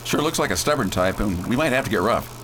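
A man playing a bold scientist who leads a space crew in a radio drama, brisk, folksy and commanding. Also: fairly low pitch, lively intonation, noisy background, natural voice. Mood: firm, matter-of-fact. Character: bold, decisive space-hero scientist and leader.

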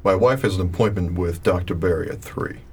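An actor playing a young schoolteacher husband in a radio play, anxious, dutiful and a little exasperated. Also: male voice, low voice, fairly quick, husky. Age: young adult. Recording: faint background noise.

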